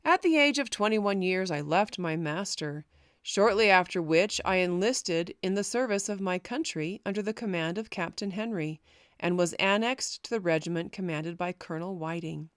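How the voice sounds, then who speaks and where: A woman's voice: fairly low pitch, lively intonation, moderate pace, clean tone, dry recording. Woman reading a historical memoir, dry close sound. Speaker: audiobook narrator. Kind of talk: scripted narration of a historical memoir.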